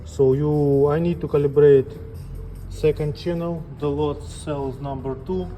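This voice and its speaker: A man giving an unscripted step-by-step equipment tutorial as an instructor, speaking plainly and haltingly in short phrases. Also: on the high side, steady tone, mellow, soft background music. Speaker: instructor demonstrating equipment calibration.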